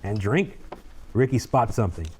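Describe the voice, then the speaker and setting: Low-voiced man narrating a screenplay. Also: animated, moderate pace, a little mellow, slight background noise. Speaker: narrator reading stage directions. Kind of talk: scripted narration at a screenplay table read.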